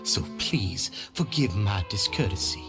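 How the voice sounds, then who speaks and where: An actor playing a smooth, scheming man in a video game, falsely deferential and theatrically polite. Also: on the low side, lively intonation, unhurried, loud music behind the voice. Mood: smug, polite. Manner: oily, over-polite, theatrical.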